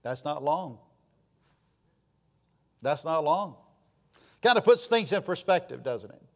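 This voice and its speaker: A man's voice: a little high, very animated. A sixty-year-old man preaching a sermon as pastor, repeating phrases and swelling to emphatic peaks. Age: 60s. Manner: slow, repetitive preaching with rising emphatic swells.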